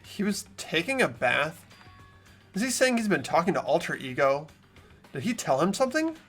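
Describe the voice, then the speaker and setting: Man acting earnest, animated teenage boy protagonist. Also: on the high side, moderate pace, slightly rough, faint music behind, heavily acted. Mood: puzzled. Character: earnest, hesitant, puzzled teenage boy protagonist who narrates. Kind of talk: amateur voice acting and narration of a visual novel game.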